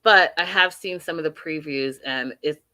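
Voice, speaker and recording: A woman's voice: deep voice, moderate pace, pushed and bright, no echo. A woman hosting a casual reality TV recap, chatty and emphatic, talking straight to her viewers. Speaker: host.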